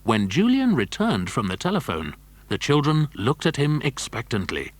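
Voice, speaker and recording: A man's voice: medium pitch, very animated, normal speed. A man narrating a scripted children's adventure story.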